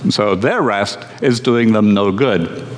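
A man from Scotland, preaching a sermon as pastor in a measured, conversational way with dry humor. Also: on the low side, big-room sound, slight background noise. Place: church.

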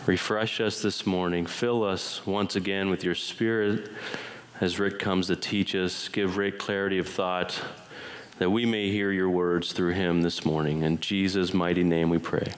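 A man leading a congregation in a slow, reverent spoken prayer, phrase by phrase. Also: low voice, little pitch movement. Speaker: worship leader. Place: church sanctuary.

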